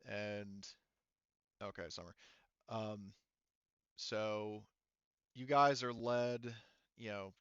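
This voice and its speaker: A man running a tabletop game as game master, narrating casually in an informal, halting manner. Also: lowish voice, a bit bright, clean tone, no echo. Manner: informal, halting, sometimes profane. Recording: dull, muffled lo-fi sound.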